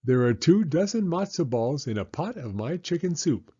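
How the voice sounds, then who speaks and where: A man's voice: fairly high pitch, sing-song, normal speed, dark tone, slightly rough, highs cut off, voice pushed up. A man voicing a kindly old grandmother who explains patiently, in a storybook reading. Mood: kindly, explaining.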